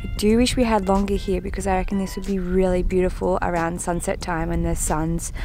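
A woman's voice: on the low side, flat delivery, fairly quick. A young woman in her twenties talking casually and upbeat to a handheld camera while travelling outdoors. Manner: chatty, upbeat, breezy. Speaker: travel vlogger. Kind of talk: casual travel vlog narration. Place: outdoors at resorts and landmarks. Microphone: handheld camera at arm's length. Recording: loud music bed underneath.

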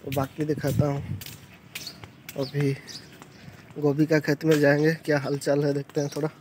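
A man's voice: fairly high pitch, monotone, moderate pace, noisy background. A young man vlogging on a phone held at arm's length, walking through an outdoor garden and vegetable plot and chatting eagerly to viewers. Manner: chatty, enthusiastic, addresses viewers directly. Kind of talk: casual vlog walkthrough.

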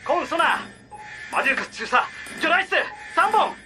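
High, sharp-voiced young crewman. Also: expressive, unhurried, faint music behind, full character voice. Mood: alarmed. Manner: crisp military reporting. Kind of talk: Japanese audio drama acting.

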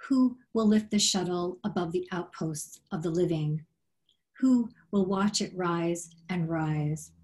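A middle-aged woman giving a poetry reading in a measured, deliberate, solemn delivery over a video call. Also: fairly low pitch, darkish tone, rough recording. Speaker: poet reading her work. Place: small room at home, on a video call. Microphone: computer microphone on a video call.

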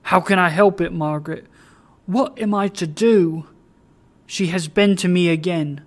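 A reader voicing a drowsy, dissipated man who speaks in a slow, brooding way. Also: mid-range voice, little pitch movement. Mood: agitated. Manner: slow, brooding, louder than narration.

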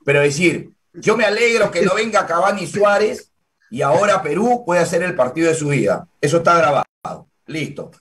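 A man's voice: on the high side, lively intonation, normal speed, fairly bright tone. A man in his forties hosting a sports show from a home room over a video call, arguing firmly and insisting on his turn to speak. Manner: firm, argumentative, claims the floor. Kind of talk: heated sports debate. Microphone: video call webcam.

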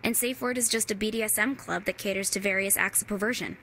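An actress playing a wary female ex-agent hacker in a video game, blunt and deadpan. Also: medium pitch, quick pace. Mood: matter-of-fact. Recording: faint background noise.

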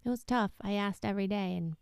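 A woman's voice: medium pitch, fast talker, vocal fry, a little mellow, smooth, dry recording, clean studio sound. A woman co-hosting a casual podcast at home, earnest and playful, pushing back in friendly banter. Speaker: podcast co-host. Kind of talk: casual chat. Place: home room.

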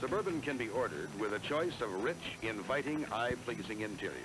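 A man's voice: mid-range voice, lively intonation, fairly quick, background noise. An American man reading scripted promotional narration in a polished, emphatic salesman style, on a 1970s film soundtrack. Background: music.

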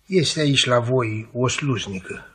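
An actor playing an inquisitive, plain-spoken old country peasant with a husky, creaky voice in a Romanian radio play. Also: male voice, medium pitch, close to an everyday voice. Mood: inquisitive, concerned. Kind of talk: Romanian radio theatre acting. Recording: 1950s radio theatre recording.

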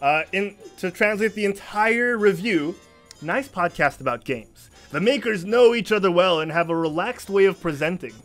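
High-voiced man hosting a video-call podcast. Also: lively intonation, normal speed, fairly bright tone, soft background music. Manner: playful, theatrical announcing. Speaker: podcast host. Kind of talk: casual podcast chat, reading reviews.

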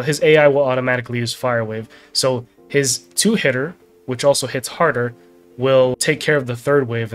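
A man's voice: mid-range voice, fairly bright tone. Guy w a bit of a stuffy nasal voice from being sick, chatting thru a game guide, music behind him. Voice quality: slightly nasal. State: getting over a sickness. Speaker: game guide video host. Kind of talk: casual game walkthrough commentary. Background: background music.

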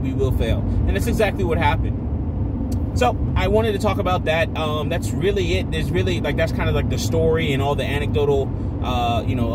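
A man's voice: medium pitch, heavy background noise. A man talking casually in a rambling, profane way, often searching for his words. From Jamaican home culture. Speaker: video creator. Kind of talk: casual monologue.

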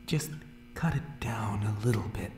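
A man voicing an unhinged, hair-obsessed monster barber in a horror ASMR roleplay, muttering broken, repetitive phrases in a creepy, pleading, breathy whisper. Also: lowish voice, unhurried, a little mellow, roomy sound, faint music behind. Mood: obsessive, eerie.

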